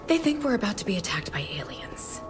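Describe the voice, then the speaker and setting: A woman playing a serious, urgent presidential staffer in a video game, speaking in a hushed, insistent way. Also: on the low side, lively intonation, fast talker, over music, natural voice. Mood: worried, urgent.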